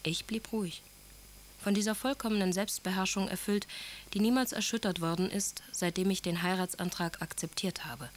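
An actress playing an earnest, ardent young aristocratic bride-to-be, speaking letters solemnly and formally in a German radio play. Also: female voice, medium pitch, steady tone, moderate pace, a little hiss or hum, close to an everyday voice. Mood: composed, expectant.

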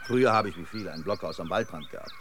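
An actor playing a chatty, eccentric local guide in a decayed city, rambling and effusively hospitable in a radio drama. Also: male voice, low voice, fast, a bit bright, slight background noise. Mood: wistful, hospitable. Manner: effusive, rambling, quirky hospitality, chuckles to himself. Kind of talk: German radio drama acting.

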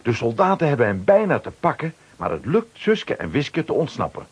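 A man's voice: medium pitch, wildly swooping pitch, moderate pace, husky. A man narrating a children's radio play from a script, dramatically.

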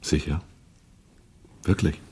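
A man's voice: slow, a little mellow, natural voice. A man acting a terse, hard-boiled gunrunner in a German radio drama, with a laconic way of talking. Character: terse, hard-boiled male gunrunner telling his story.

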